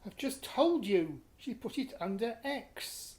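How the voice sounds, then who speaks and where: A man's voice: very high-pitched, big pitch swings, slow pace, darkish tone, full character voice. Guy playing a middle-aged british dad in a radio play, terse n sardonic, gets exasperated, talks like real conversation. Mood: defensive, irritated. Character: exasperated, dry-humoured middle-aged father. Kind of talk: naturalistic radio play acting.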